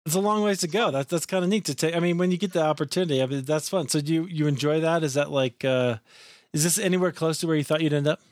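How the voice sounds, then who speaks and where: A man's voice: a little high, fast talker, dry recording, studio quality. A man hosting a casual interview, friendly and affirming, often reacting with short responses. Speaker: podcast host.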